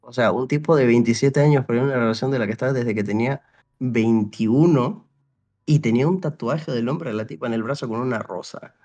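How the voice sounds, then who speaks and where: A man's voice: mid-range voice, a little fast, a little mellow. A young man from Paraguay in casual conversation, telling a long story that wanders, with many restarts and "o sea", and acting out bits of dialogue. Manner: rambling, full of restarts and "o sea", re-enacts dialogue. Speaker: interview guest.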